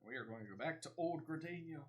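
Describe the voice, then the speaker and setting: A man's voice: a little high, lively intonation, quick pace. A man streaming a video game, casually thinking aloud and narrating each of his moves. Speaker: gaming streamer. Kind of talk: casual gameplay commentary.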